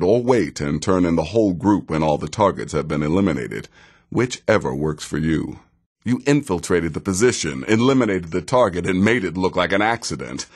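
Very deep, sardonic veteran assassin. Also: male voice. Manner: dry, sarcastic, deliberate delivery. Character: sardonic, world-weary veteran male assassin of a dark guild. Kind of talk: scripted fantasy video game dialogue.

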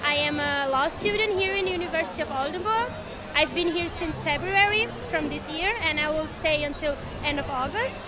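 A woman's voice: high-pitched, a little fast. A woman in her twenties giving a student testimonial at an outdoor fair. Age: 20s. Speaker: law student. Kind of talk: on-camera testimonial. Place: outdoors at a busy campus fair. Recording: busy outdoor crowd, music behind.

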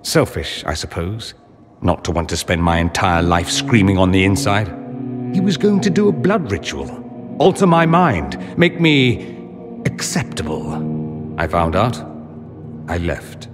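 A man's voice: sits low, sing-song, a little slow. An actor playing a proud, wounded nobleman mage in a video game, articulate and sarcastic in a theatrical way. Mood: quiet hurt. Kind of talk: dramatic video game acting. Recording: long reverb, music behind.

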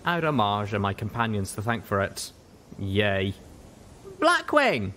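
A man's voice: lowish voice, moderate pace, a bit bright, a little hiss or hum. A man reading first-person fiction aloud as a scripted audiobook narration. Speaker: audiobook reader.

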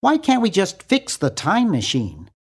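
A man's voice: high voice, wildly swooping pitch, unhurried, slightly rough, full character voice. An actor playing an earnest, eager, nerdy young college man in a cartoon game. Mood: urgent.

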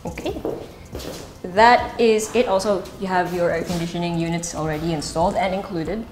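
Low-voiced Filipino woman in echoey rooms. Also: normal speed, a little mellow. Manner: chatty, unscripted, switches into Tagalog asides. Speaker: house tour host. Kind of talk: casual guided property tour, presenting while walking. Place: empty rooms and stairwell indoors. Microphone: wireless body mic, pack at waist. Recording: echoey bare rooms, music underneath. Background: music.

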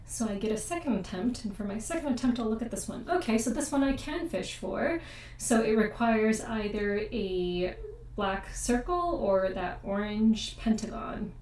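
A young woman hosting a casual board game playthrough at home, chatty and explanatory, often pausing to check the rules. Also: on the high side, lively intonation, a little mellow, slight background noise. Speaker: board game channel host. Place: home room at a table. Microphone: desk USB mic with room echo. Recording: echoey room, mic set far off.

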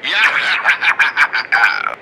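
A man's voice: on the high side, big pitch swings, drawn-out words, background noise, exaggerated voice. A man voicing a swaggering male pirate fox animatronic in a fan dub, with boastful, laughing pirate bluster.

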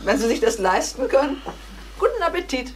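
Crime radio play: kindly older mother who speaks her mind, clearly noisy old recording. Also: high voice, very animated, fairly quick. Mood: reasonable. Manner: forthright, motherly. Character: kindly, outspoken mother scolding policemen. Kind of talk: German crime radio drama acting.

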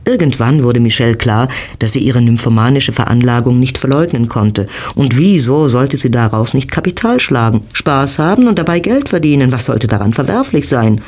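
Actor as horror story narrator guy, medium pitch, scripted audio drama. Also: lively intonation, normal speed, few pauses, a little mellow, lightly acted. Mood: suspenseful. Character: storyteller of a lurid horror tale.

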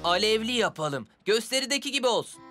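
An actor playing an enthusiastic young circus magician in a cartoon dub, upbeat and persuasive like a pushy coach. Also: male voice, high voice, very animated, fast talker, music in the background. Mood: brisk. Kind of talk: dubbed children's cartoon acting.

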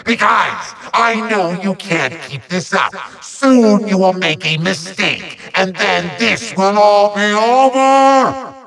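A man voicing a bombastic, petulant little evil sorcerer from a video game, ranting and gloating in hammy, drawn-out threats with a gravelly, sharp voice. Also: sits high, distant mic, exaggerated voice, rough recording. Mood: menacing, smug. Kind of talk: theatrical video game villain monologue.

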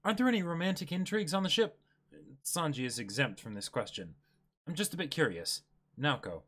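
Bright, lively young man reading aloud. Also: fairly high pitch, a little fast. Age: 20s. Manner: playful, exclamatory. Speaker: co-host doing a dramatic manga reading. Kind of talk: dramatic reading with casual banter. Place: indoor room, seated.

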